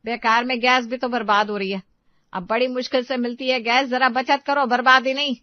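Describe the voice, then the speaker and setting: A thrifty older mother-in-law scolds through a cartoon family tale, acted by a woman. Her voice is fairly high and the sound is dry and a bit muffled. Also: fairly even intonation, brisk, dry recording, close to an everyday voice. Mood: scolding. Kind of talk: cartoon story dialogue.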